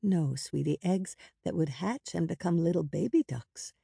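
A woman voicing a protective ten-year-old big sister, speaking gently, softly and patiently in an audiobook. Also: fairly low pitch, animated, moderate pace, creaky, slightly rough, dry recording, clean studio sound, exaggerated voice. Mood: gentle storytelling. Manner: soft, soothing, patient.

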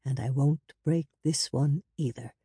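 A woman voicing a tender, steadfast man in love in an audiobook, quietly reassuring and earnest. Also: deep voice, a little slow, husky, no echo, studio quality, full character voice. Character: tender, steadfast young man, devoted lover.

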